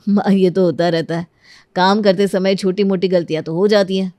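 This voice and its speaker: A woman's voice: medium pitch, steady tone, normal speed, dark tone, dry recording, natural voice. A woman voicing a practical, patient grown son talking to his mother in a moral storybook tale. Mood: patient, amused. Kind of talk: animated moral storybook dialogue.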